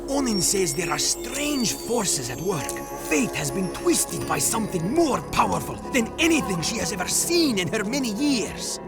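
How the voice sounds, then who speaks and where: An actor voicing a pompous, complaining talking bird who interprets for a seer in a video game. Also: male voice, sits high, sing-song, normal speed, creaky, hardly any pauses, exaggerated voice. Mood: portentous. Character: squawky, irritable talking bird acting as seer's interpreter. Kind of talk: animated video game cutscene acting. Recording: loud music under the voice.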